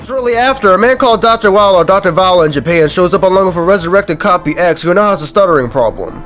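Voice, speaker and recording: Fast-talking, high-voiced man over music. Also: expressive, fairly bright tone. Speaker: video game reviewer. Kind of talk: fast scripted recap narration over game footage. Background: background music.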